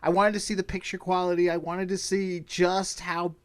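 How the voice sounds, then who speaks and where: A man's voice: sits high, little pitch movement, moderate pace, clean tone. A man hosting a casual review chat, recapping in an easygoing, conversational way. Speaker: podcast host.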